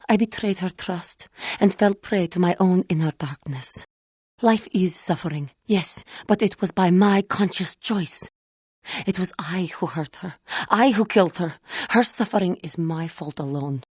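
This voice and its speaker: A woman playing a proud, tormented fallen warrior seeking redemption in a video game, speaking in formal, solemn and deliberate phrases. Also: fairly low pitch, darkish tone, husky, no echo, clean studio sound. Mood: anguished, bitter. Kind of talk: dramatic video game character dialogue.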